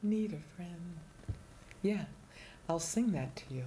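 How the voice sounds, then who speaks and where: Older woman with a low, mellow, slow voice. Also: animated, slight background noise, poor audio. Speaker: singing teacher, guest. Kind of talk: casual explanatory chat. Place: small TV studio, indoors.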